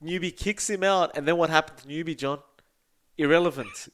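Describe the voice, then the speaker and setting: Young aussie guy on a gaming podcast, kinda high voice, ranty and sweary. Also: brisk. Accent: Australian. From Australia. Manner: animated, ranting, blunt and profane, keeps addressing his co-host by name. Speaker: podcast co-host and esports caster. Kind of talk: casual podcast chat.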